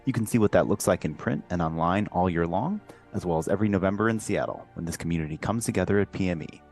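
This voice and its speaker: A man reading a scripted sponsor message in a brisk, polished way. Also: deep voice, darkish tone, faint music behind. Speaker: sponsor announcer. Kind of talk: read sponsor spot.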